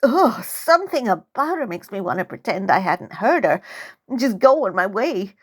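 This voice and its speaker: A woman's voice: medium pitch, sing-song, moderate pace, breathy edge. A woman narrating a first-person story as its author, in a dramatic, suspenseful style. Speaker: author reading own novel.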